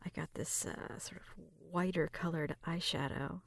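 A woman speaks in a soft, intimate ASMR roleplay style, drifting between whisper and low murmur. Her voice is creaky and husky at the edges. It sounds very close and dry, with gentle step-by-step narration. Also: steady tone, normal speed, no echo. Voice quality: soft, breathy, often whispering. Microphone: close mic.

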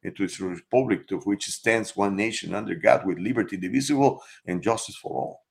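A middle-aged man with a Mexican accent and a slightly low voice hosts a live show. He chats loosely, reading audience comments and answering each one. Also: moderate pace, gravelly. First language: Spanish. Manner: casual, chatty, reads comments aloud and answers them. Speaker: talk show host.

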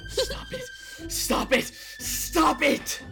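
A woman's voice: fairly low pitch, sing-song, unhurried, over loud music. A woman playing a haunted, frightened and snappish paranormal investigator in an audio horror drama. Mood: panicked.

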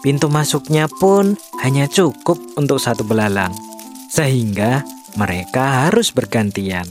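A man's voice: medium pitch, lively intonation, unhurried, faint music behind, lightly acted. A man playing a clever, polite young captive who tells stories earnestly in a children's storybook drama. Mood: storytelling.

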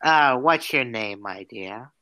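A vain, flirtatious demon gentleman, acted out by a man in an improvised audio drama. His voice is bright and very lively in pitch, with a theatrical, teasing manner. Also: slow, dry recording, exaggerated voice. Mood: smooth, flirtatious. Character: flamboyant, vain, teasing male demon who loves dad jokes. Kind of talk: improvised character roleplay.

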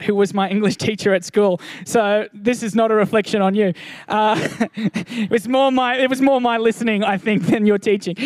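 A man with a high voice preaches to his church in an earnest, encouraging way. He often doubles his first words as a thought begins. The recording is dry and close. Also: clear, resonant tone, dry recording. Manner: earnest, encouraging, repeats words when starting sentences. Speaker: pastor. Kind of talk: sermon.

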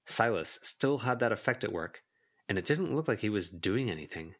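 A man's voice: fairly low pitch, expressive, moderate pace, fairly bright tone. A man reading a story aloud as an audiobook narrator, in scripted narration. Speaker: volunteer audiobook reader. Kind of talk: scripted fiction narration with character voices.

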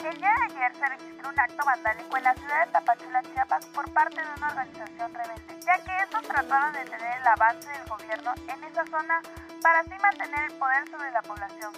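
A woman acting as a high-voiced television news anchor in a radio play. She reads in a formal, propagandistic manner, earnest and official, over music. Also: fairly quick, close to an everyday voice. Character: earnest pro-government female TV news anchor praising the army. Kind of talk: scripted news reading in a radio drama.

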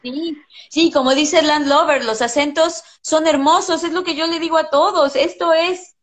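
A woman's voice: high-pitched, steady tone, normal speed. A woman, an English teacher talking in a casual interview, blunt and passionate, swearing freely.

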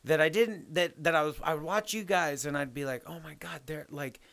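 A man hosting a casual family conversation as interviewer, enthusiastic and quick to interject. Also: on the high side, gravelly, fairly bright tone. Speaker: host interviewing older relatives.

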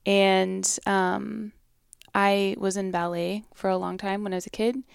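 A woman with a soft voice speaking as a musician guest in a casual interview, hesitant and full of fillers and restarts. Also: medium pitch, little pitch movement, unhurried, vocal fry, clean tone, dry recording, clean studio sound.

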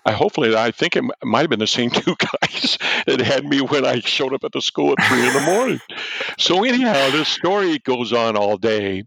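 An older man, a former football coach, telling a story loudly and animatedly as an interview guest and chuckling as he goes. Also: mid-range voice, expressive, moderate pace, creaky, dry recording. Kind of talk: storytelling in a podcast-style interview. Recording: dull, dry lo-fi audio.

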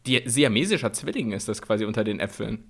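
A young man co-hosting a casual comedy podcast, excitedly spinning silly made-up scenarios. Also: fairly low pitch, fast, vocal fry. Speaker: podcast co-host. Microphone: remote call recording.

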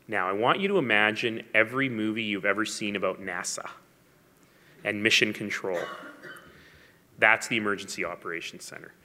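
A man's voice: fairly low pitch, sharp tone. A man giving a public speech as a mayor, telling stories with wry asides and emphasis. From Canada. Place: large hall. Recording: long hall reverb.

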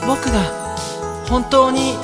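A man's voice: very high-pitched, little pitch movement, slow. A man acting as an earnest, lovestruck young man in a romance film, pleading fervently. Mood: desperate devotion. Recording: reverberant, with loud music over voice.

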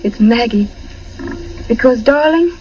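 An actress playing a high-strung young woman in an old-time American radio suspense drama. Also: lively intonation, slow pace, a little mellow. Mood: brittle, affectionate. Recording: muffled, noisy vintage radio recording.

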